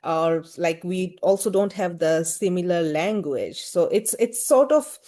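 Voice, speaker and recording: A woman's voice: on the low side, lively intonation, unhurried, warm tone. A woman with a Bangladeshi accent answering interview questions thoughtfully, with frequent fillers and hedges. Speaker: guest, gender-rights activist.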